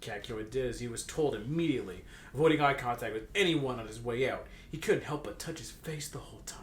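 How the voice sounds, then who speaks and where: A man's voice: mid-range voice, a little fast. A man doing a comedic, mock-dramatic read-aloud of fan fiction with joking banter. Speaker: co-reader reading fan fiction aloud with commentary. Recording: echoey room with faint background noise.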